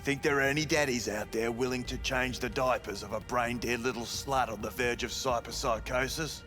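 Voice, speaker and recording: A man's voice: mid-range voice, fairly even intonation, normal speed, close to an everyday voice. Guy doing a sleazy cyberpunk brothel manager in a game, snide and crude, cynical as hell, music underneath. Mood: wry, defensive. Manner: snide, slangy, crude and dismissive. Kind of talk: video game dialogue acting.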